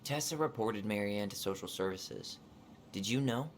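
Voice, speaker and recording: A man's voice: on the low side, moderate pace, a little hiss or hum, close to an everyday voice. An actor playing an earnest, probing young man, direct and reserved in naturalistic video game dialogue.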